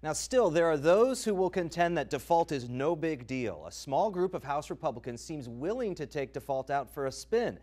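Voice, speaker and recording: News reporter, male: emphatic, dramatic pauses, swooping pitch, dry close recording. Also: sing-song, a little mellow, no echo. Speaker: television news reporter and anchor. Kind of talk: scripted TV news narration.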